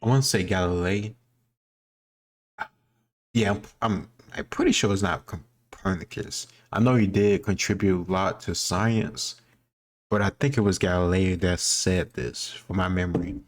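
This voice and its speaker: Deep-voiced man thinking out loud. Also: fairly even intonation, a little slow. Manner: informal, thinking aloud. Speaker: host answering history quiz questions. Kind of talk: casual reaction commentary.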